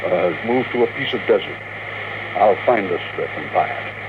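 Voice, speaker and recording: An actor playing a stubborn, obsessed old tycoon in a 1950s radio drama, speaking with commanding, theatrical emphasis. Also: male voice, deep voice, lively intonation, normal speed. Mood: brisk, businesslike. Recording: noisy, tinny 1950s radio recording.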